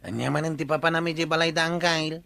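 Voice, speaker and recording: A man's voice: on the high side, steady tone, quick pace, gravelly, sharp tone, no echo. A man with an Ilocano accent playing a grumbling, bossy village man in a radio comedy drama. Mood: scolding. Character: grumbling, grudge-bearing village man, bossy older brother.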